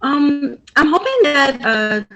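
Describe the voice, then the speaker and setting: A woman's voice: high voice, lively intonation, slow pace, a bit bright, a little hiss or hum. Earnest woman from Haiti speaking. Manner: earnest, reflective, heartfelt. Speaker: guest speaker. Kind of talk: public talk at a community event.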